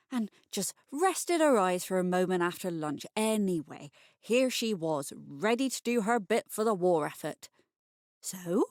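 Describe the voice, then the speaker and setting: A woman's voice: mid-range voice, dry recording. Woman narrating a story, dry close sound. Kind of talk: scripted short story narration, bedtime audio story.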